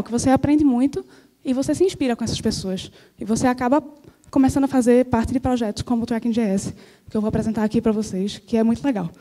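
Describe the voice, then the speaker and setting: A woman with a Northeastern Brazilian accent giving a friendly, chatty conference talk as a software engineer, often checking in with her audience. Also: a little high. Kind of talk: conference presentation. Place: conference stage before an audience. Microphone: handheld or stage mic.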